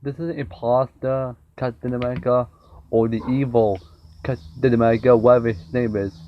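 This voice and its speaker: A man's voice: mid-range voice, steady tone, normal speed. Rambling young man with a sore throat. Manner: rambling, repeats and restarts words. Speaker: TV show reviewer. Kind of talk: casual fan review vlog. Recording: dull lo-fi with faint noise.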